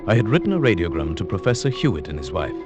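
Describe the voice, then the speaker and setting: Deep-voiced, fussy scheming professor. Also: male voice, very animated, fast talker. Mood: wry, brooding. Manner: formal, pedantic, hesitant. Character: fussy, smug, scheming professor, a newlywed husband. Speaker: actor and first-person narrator in a radio play. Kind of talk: vintage radio suspense acting. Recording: vintage radio recording with music.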